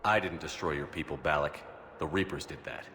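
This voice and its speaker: An actor playing a steady, resolute military commander in a video game, with a firm, terse delivery. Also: male voice, very deep voice, fairly quick, a little hiss or hum. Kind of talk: video game drama acting.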